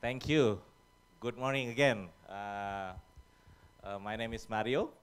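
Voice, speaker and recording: A man's voice: on the low side, slow pace, clean tone, soft background music. An Indonesian man speaking at a conference, talking hesitantly with many fillers and informal English. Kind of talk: presentation talk. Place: conference hall.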